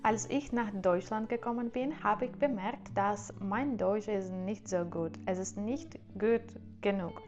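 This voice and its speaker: A woman's voice: mid-range voice, a little slow, hardly any pauses, darkish tone, muffled recording, soft background music. A young woman whose native languages are Russian and Ukrainian, speaking with an accent in a casual vlog, careful and a little hesitant with fillers. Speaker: vlogger.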